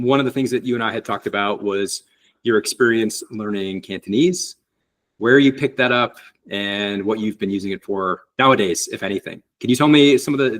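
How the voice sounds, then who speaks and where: A man's voice: mid-range voice, fairly bright tone. A man hosting a casual interview, asking loose, meandering questions. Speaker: podcast host, interviewer.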